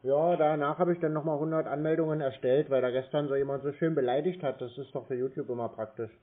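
A man's voice: fairly high pitch, steady tone, quick pace. A man chatting casually and confrontationally on a phone call, blunt, needling and dismissive with the caller. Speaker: scam-baiting YouTuber taking calls from call centres. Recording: band-limited phone call audio.

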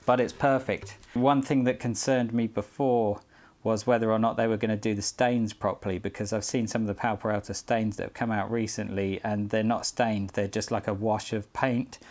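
A man's voice: fairly low pitch, level tone, moderate pace, a little mellow. A British man in his forties talking casually to camera as he shows a product in a room at home. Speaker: skateboard collector vlogger. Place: small indoor room at home.

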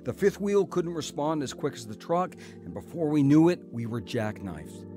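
A man's voice: a little high, sing-song, normal speed, dark tone, faint music behind. A man with an American accent acting a proud, cynical, stubborn older brother in a first-person audio drama. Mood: regretful narration. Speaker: actor narrating and playing the lead.

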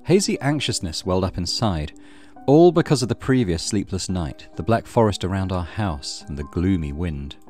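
A man's voice: sits low, expressive, normal speed, hardly any pauses, a little mellow, soft background music. A man hosting a let's play, reading story narration aloud with casual commentary.

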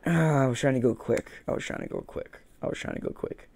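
Young man muttering gameplay commentary, gravelly edge. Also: medium pitch, quick pace, darkish tone, no echo. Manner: muttering to himself, repeating phrases. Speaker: gaming video host. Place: indoor room, beside a plain wall. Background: game music.

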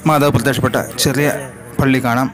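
A man with a Malayali accent narrating a travel vlog slowly and in measured, short separated phrases. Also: mid-range voice, fairly even intonation. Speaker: vlogger. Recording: loud music over the voice. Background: loud music.

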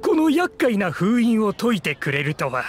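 A man's voice: high voice, sing-song, normal speed. A man playing a genial, businesslike landlord in a game, courteous and assured. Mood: grateful. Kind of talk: Japanese game voice acting. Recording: music behind the voice.

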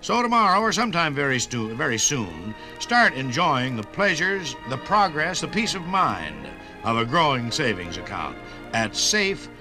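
A man's voice: mid-range voice, sing-song, moderate pace, music in the background, poor audio. An American man reading a 1950s radio sponsor message in a folksy, conversational way, speaking straight to the listener. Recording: 1950s radio broadcast on vinyl.

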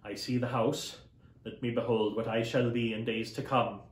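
A man voicing an old, stern miser who speaks in a low, grave, measured way. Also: little pitch movement, lightly acted. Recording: roomy echo.

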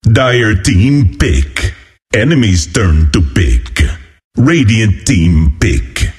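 A man's voice: on the low side, lively intonation, drawn-out words, a little mellow. An actor playing a burly, boisterous walrus-man warrior as a video game announcer, with hearty, declamatory delivery. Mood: booming, urgent.

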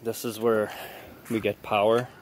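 Low-voiced man touring a campsite. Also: little pitch movement, measured pace, a little hiss or hum. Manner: plain, listing things one by one. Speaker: family member giving a campsite tour. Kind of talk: casual walkthrough tour. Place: outdoor campsite in woods.

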